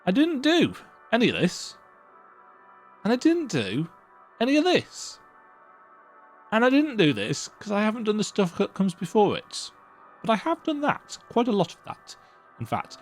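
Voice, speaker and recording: A man's voice: high voice, huge pitch swings, moderate pace, long pauses, soft background music. A man giving rambling, chatty commentary as he plays through a video game. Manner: rambling, self-interrupting, chatty. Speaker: gaming YouTuber. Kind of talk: casual game playthrough commentary.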